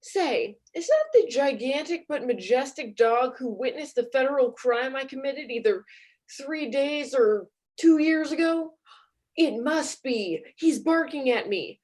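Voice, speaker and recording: Woman playing a breezy, chatty murderer, high-pitched. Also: expressive, a little slow, darkish tone. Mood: mock-casual. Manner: chatty, mock-casual musing. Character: nonchalant murderer spotting a barking dog.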